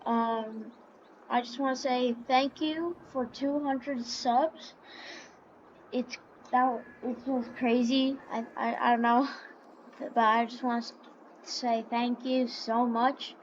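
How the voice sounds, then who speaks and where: Higher pitched woman doing laid-out slow gameplay commentary, kinda halting, muffled cheap mic w engine noise behind. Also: steady tone. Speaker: gaming YouTuber. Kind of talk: casual gameplay roleplay commentary. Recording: dull lo-fi mic, faint background noise. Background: vehicle engine sounds from the game.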